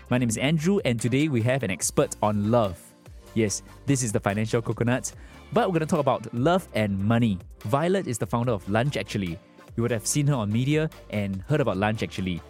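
A man's voice: mid-range voice, darkish tone. A man, reading an upbeat scripted introduction as a podcast host.

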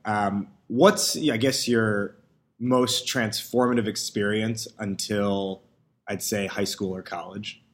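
A man's voice: on the low side, fairly even intonation, slow. A man hosting an interview, friendly and engaged as he asks his guest questions. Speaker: interview host. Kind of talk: conversational interviewing.

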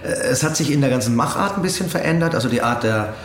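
A man giving reflective interview answers as a voice actor, halting and hesitant as he searches for words. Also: medium pitch, expressive. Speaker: interview guest, audio drama voice actor. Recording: roomy echo with faint background noise.

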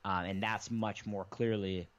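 A man's voice: fairly low pitch, little pitch movement, a little slow. A man explaining things in a rambling, casual way as a podcast guest, often correcting himself mid-sentence. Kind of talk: casual conversation. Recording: slightly dull lo-fi sound.

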